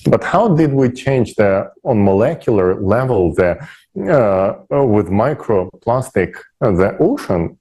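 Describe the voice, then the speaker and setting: A man with a low, husky voice talks on an online panel. His tone is soft and dark, and he makes his case persuasively, pausing to search for words. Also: very animated. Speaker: speaker on an online discussion panel. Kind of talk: persuasive discussion talk.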